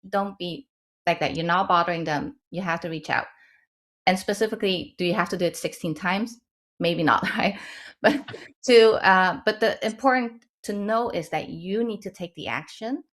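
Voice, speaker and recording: Lower-pitched woman from the Netherlands advising. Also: moderate pace, fairly bright tone. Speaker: webinar panelist and advisor. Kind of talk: informal explanatory advice.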